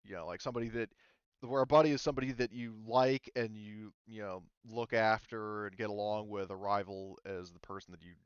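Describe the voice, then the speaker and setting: A man acting as game master, explaining tabletop game rules to a group in a casual, halting, informal way. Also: on the low side, steady tone, clean tone, no echo, dull audio.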